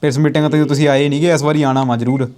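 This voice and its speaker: A man's voice: a little high, flat delivery, quick pace, a crowd in the background. A man bantering casually in a teasing way.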